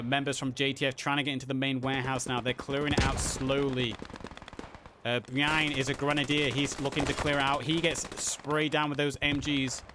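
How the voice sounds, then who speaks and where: A man casting a live game match, play-by-play with fillers, a little hoarse as he is losing his voice. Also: medium pitch, background noise. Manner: excited play-by-play with frequent uh fillers. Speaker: esports caster. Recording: audible game gunfire and artillery behind. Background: game gunfire and artillery sounds.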